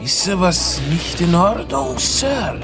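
A man's voice: high voice, big pitch swings, slow pace, gravelly, over music, heavily acted. A man playing an eccentric, pious immigrant taxi driver with a thick Italian accent and broken grammar in an audio drama. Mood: curious, chatty.

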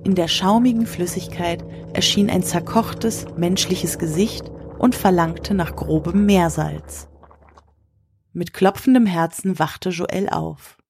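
A woman with a husky voice reads scripted literary narration for an audio drama. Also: fairly low pitch, slow, a little mellow, slight background noise.

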